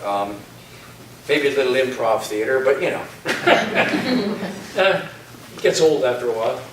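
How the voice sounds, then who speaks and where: Male host, informal and halting, thanking a crowd from far off the mic in a reverberant hall. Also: medium pitch, lively intonation. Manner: rambling, conversational, halting. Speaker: host of a staged reading. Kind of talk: informal closing thanks to an audience. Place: hall at a community center. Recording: echoey hall, distant mic, audience noise, hiss.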